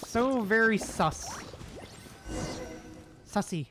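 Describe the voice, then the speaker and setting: Very slow, casual game streamer. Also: mid-range voice, animated, fairly bright tone, faint music behind. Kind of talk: casual gameplay commentary, reading on-screen lines aloud.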